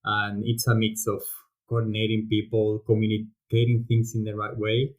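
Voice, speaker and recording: Low, flat-voiced man with a Spanish accent. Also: moderate pace, dull audio. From Spain. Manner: thoughtful, with frequent ums and restarts. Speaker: podcast guest, volunteering program director. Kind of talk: casual interview talk.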